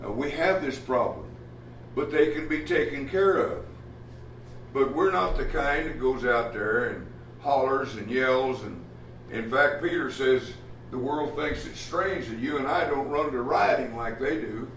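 A man preaching a sermon as pastor, plainspoken and folksy, often pausing with "uh" as he talks. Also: mid-range voice, fairly bright tone, slight background noise. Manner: plainspoken, folksy, halting with many uh fillers. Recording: echoey room from far off, faint ambience.

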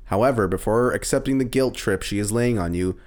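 A man's voice: lowish voice, brisk, a little mellow. A man co-hosting a casual talk show, reading letters aloud and bantering in a slangy, joking way. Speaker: podcast co-host reading advice column letters.

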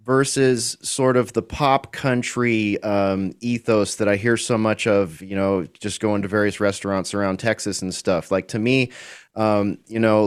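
A man with a smooth, somewhat low voice poses a question as a radio co-host. He hesitates and fills the gaps as he goes. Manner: hesitant, many fillers. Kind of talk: talk radio interview.

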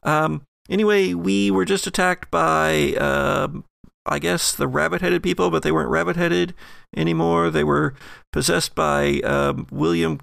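A man's voice: fairly high pitch, fairly even intonation, gravelly, fairly bright tone, no echo, studio quality, full character voice. A man voicing a nervous young woman who rambles hesitantly in an audio role-playing game. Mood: nervous, flippant. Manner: hesitant, halting, full of ums.

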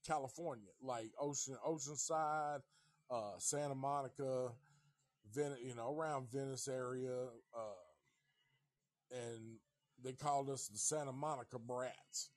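Slow-talking laid-back man of fifty. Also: medium pitch, darkish tone, no room sound. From Nashville, Tennessee. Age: 50s. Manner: rambling, profane, laid-back. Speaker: livestream host. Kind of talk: casual livestream chat.

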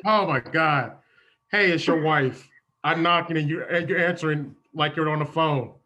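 A woman's voice: low-pitched, normal speed, pushed and bright. A woman improvising a comedy sketch as an exasperated, oblivious, churchgoing wife.

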